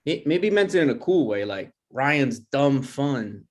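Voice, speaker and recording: A man's voice: medium pitch, sing-song, measured pace. A man playing an insecure, defensive boyfriend in his twenties in a comedy script table read. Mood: earnest. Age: young adult.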